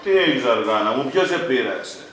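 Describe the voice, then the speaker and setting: A man's voice: mid-range voice, expressive, very echoey, far from the mic, noisy background, lightly acted. A man playing a sweet-talking nightclub owner husband in a comedy sketch. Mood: coaxing.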